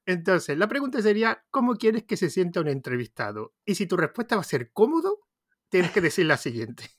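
A man's voice: high voice, very animated, a little fast, no echo, studio quality. A man with an Andalusian Spanish accent hosting a podcast interview, chatty and rambling, often restarting his sentences. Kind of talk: casual conversational interview.

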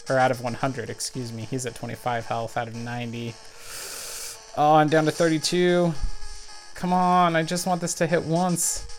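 A man's voice: mid-range voice, rough recording. A man giving casual running commentary on a video game, calling out every move and the health numbers as he plays. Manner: excitable, narrates every move and health count aloud. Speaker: let's play gamer.

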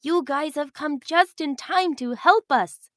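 A woman's voice: high-pitched, slow pace, dry recording, clean studio sound, voice pushed up. A woman voicing an earnest, emotional island village leader, a grown woman, in a children's storybook reading. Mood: welcoming.

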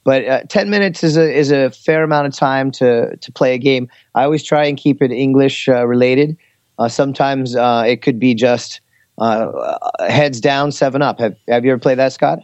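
A man chatting casually and giving teaching advice, explaining things in a hesitant, halting way. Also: medium pitch, darkish tone, no room sound. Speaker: English teacher in Korea, talk show speaker. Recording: slightly dull, lo-fi audio.

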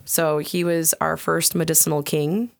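Podcast lady, soft soothing voice, chatty and giggly, kinda low pitched. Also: flat delivery, moderate pace, creaky, dry recording, clean studio sound. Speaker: podcast co-host. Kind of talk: casual podcast chat.

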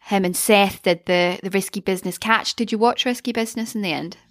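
Woman podcast host, chatty and jokey, middle pitch, laid back chat. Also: steady tone, fairly quick. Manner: chatty, playful, recapping episodes.

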